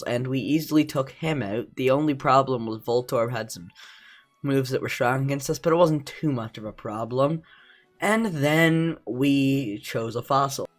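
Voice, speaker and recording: A man's voice: mid-range voice, normal speed. Casual young man with a cough. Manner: casual, joking, self-deprecating asides. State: slight cough. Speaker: gaming YouTuber. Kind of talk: game challenge commentary over gameplay.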